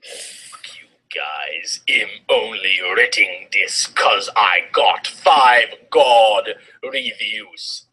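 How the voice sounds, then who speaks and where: Bright, theatrical man reading dramatically. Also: on the low side, animated, extremely slow, slightly rough, rough recording. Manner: theatrical, exaggerated emphasis. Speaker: reader of fan fiction. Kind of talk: dramatic comedic story reading.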